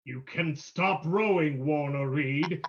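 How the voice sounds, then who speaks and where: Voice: medium pitch, slow, highs cut off, close to an everyday voice. An actor playing a mocking, commanding invisible crime-fighter in an old-time radio mystery, making ominous, taunting pronouncements.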